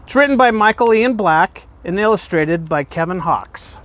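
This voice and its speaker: A man reading a children's picture book aloud in an expressive storytelling way, with emphatic pauses and questions put to the listener. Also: high-pitched, a little hiss or hum. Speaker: guest reader, fire department deputy chief.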